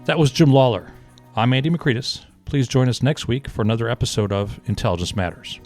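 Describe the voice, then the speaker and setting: A man's voice: mid-range voice, lively intonation, fairly quick, music in the background. A man hosting a podcast interview, talking in a conversational way with small restarts. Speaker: podcast host.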